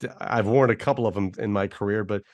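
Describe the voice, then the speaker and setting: A man's voice: on the low side, fast talker, a little mellow. A man who hosts a radio station's interview, asking chatty questions with some hesitation. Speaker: radio host. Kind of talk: casual interview over video call.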